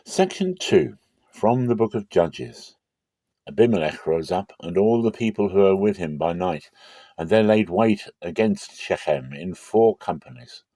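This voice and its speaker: A man giving a dramatic scripture reading as narrator, with measured, solemn storytelling. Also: low voice, big pitch swings, mellow, no room sound. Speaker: Bible reader.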